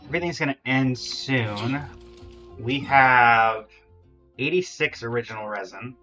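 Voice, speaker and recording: A man's voice: mid-range voice, measured pace, bright, forceful tone, dull audio. A man giving casual live game stream commentary, often muttering to himself as he goes. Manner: muttering to himself while troubleshooting. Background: game music.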